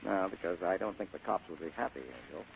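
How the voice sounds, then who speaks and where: A wisecracking noir private eye, quick and husky, old radio, muffled audio. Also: male voice, low-pitched, expressive, exaggerated voice. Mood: hard bargaining. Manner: brisk, clipped, cynical. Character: cool, wisecracking, hard-boiled male private detective. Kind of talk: 1940s radio acting. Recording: muffled vintage radio recording.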